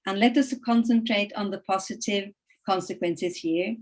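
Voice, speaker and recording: A middle-aged woman whose first languages are Norwegian and Italian, giving a measured, earnest welcome address over a video call, with frequent hesitations and restarts. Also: mid-range voice. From Norway and Italy. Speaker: conference opener. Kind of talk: formal welcome address, read.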